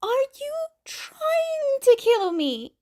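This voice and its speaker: Woman voicing a squeaky, flustered teenage boy. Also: very animated, very slow, a little mellow, full character voice. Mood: whiny. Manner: hesitant, stammering, squawky complaints. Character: concussed teenage boy, sassy, flustered, yelping, easily rattled.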